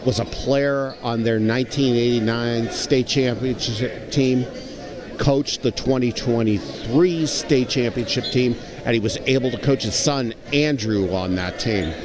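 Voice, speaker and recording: A man giving live color commentary and analysis at a high school basketball game. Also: a little slow. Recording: clear crowd noise in gym. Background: basketball game crowd.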